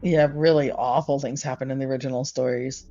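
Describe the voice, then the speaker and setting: A woman's voice: a bass voice, fairly even intonation, brisk, mellow. A woman speaking casually as a co-host in a conversational discussion. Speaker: podcast co-host. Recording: dull lo-fi audio, music behind.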